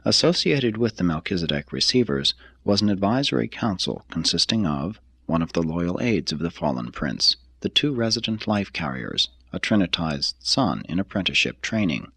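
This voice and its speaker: A man reading a religious text as scripted narration, in a measured, solemn and formal manner. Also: low-pitched, sing-song, creaky, fairly bright tone, husky, dry recording. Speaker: reader.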